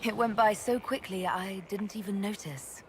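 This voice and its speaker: A woman plays a calm, supportive leader in a fantasy video game. She speaks at a middle pitch, gently and plainly, over faint ambient noise. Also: moderate pace, close to an everyday voice. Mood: kind. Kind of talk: video game cutscene acting.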